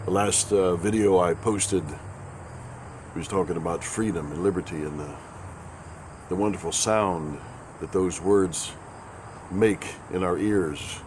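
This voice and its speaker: Raspy low-voiced man with a head cold. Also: measured pace, pauses often, darkish tone, slight background noise. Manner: folksy, sarcastic, rambling. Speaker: host. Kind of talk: casual spoken commentary.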